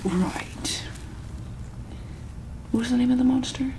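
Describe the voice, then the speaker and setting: A woman speaking softly in an ASMR medical roleplay as a calm, caring doctor, halting often with pauses and ums. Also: high voice, noisy background. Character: calm, caring female monster-hospital doctor.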